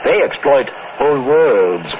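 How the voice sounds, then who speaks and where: A man's voice: medium pitch, lively intonation, a little slow, lightly acted. A man playing an officious aide who admires his boss in a radio drama, talking emphatically and repeating words with relish. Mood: admiring. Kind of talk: 1950s radio drama acting. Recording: tinny, noisy 1950s radio recording.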